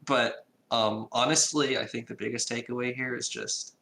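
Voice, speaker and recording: A man chatting as a developer guest in an interview, halting and circling back as he explains. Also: medium pitch, fairly even intonation, vocal fry, pushed and bright, slight background noise. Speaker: indie game developer, podcast guest. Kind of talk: casual interview chat. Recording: echoey room.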